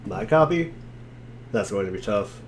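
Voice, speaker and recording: Man, theatrical and wry, animated pitch, dramatic reading, slightly noisy recording. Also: moderate pace. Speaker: reader in a group dramatic reading. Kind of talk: dramatic reading of narration and dialogue with casual asides.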